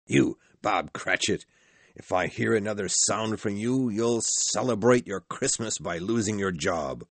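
A man's voice: lowish voice, a little slow, dry recording. A man voicing a grumpy, miserly old businessman in a storybook, curt, scornful and irritable. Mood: angry, sarcastic. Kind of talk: storybook character voice.

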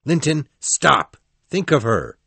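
A man voicing an anguished, defiant male lover with intense, drawn-out phrasing in an audiobook. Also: fairly low pitch, expressive, no echo. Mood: defiant, urgent. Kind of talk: dramatized audiobook reading.

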